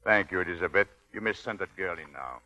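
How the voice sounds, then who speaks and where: An actor playing a controlling Victorian husband in 1940s radio drama style, smooth with endearments that turn to pointed questioning. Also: male voice, sits low, lively intonation, quick pace, bright, forceful tone, heavily acted. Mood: curt, commanding.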